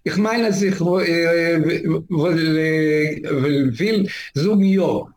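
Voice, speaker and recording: A man in his seventies from Israel, telling his life story in an interview, halting and searching for words with many fillers. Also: a little high, darkish tone. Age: 70s. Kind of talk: oral history interview. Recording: echoey room.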